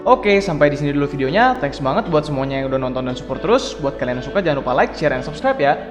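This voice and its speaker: Fast-chatting gaming host over music. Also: male voice, fairly high pitch, lively intonation, roomy sound, in a large hall. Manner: chatty, addresses viewers as guys. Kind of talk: casual commentary over gameplay. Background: game music.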